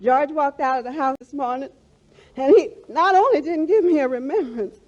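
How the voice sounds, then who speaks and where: A woman's voice: high voice, normal speed, a little mellow, dull audio, lightly acted. A woman playing a hurt, sentimental wife in a 1940s radio comedy, plaintive and emotional with folksy grammar.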